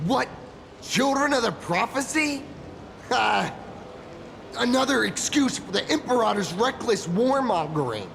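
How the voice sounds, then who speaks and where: A man's voice: very high-pitched, expressive, unhurried, gravelly, a bit bright, slight background noise, heavily acted. A man playing a bitter, defiant dissident in a video game, scornful and confrontational. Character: bitter, defiant dissident railing against a tyrant. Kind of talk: video game character acting.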